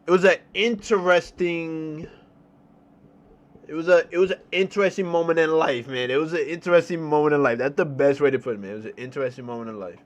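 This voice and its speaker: A young man with a New York accent telling a story in a casual, slangy, animated way, repeating phrases for emphasis. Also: on the high side, fast talker, lo-fi sound. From New York City, United States. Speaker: storytelling streamer.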